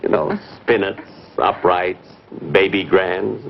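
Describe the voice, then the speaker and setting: An actor playing a timid, naively vain piano tuner with a hesitant, stammering delivery in 1950s television comedy style. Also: male voice, low-pitched, fairly even intonation, slow, gravelly, full character voice. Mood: flustered, indignant. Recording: dull, noisy 1950s television soundtrack.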